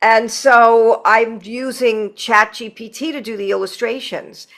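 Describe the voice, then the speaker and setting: Female podcast host, bright and slightly high voice, chatty, enthusiastic, conversational. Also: steady tone, unhurried. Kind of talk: casual chat.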